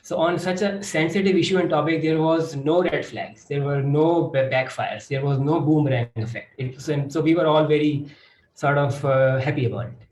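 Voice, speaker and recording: A man's voice: a little high, fairly even intonation, moderate pace, a little mellow. A man with a Pakistani accent giving an explanatory talk as a panelist. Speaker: panelist, project grantee. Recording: echoey room, faint background noise.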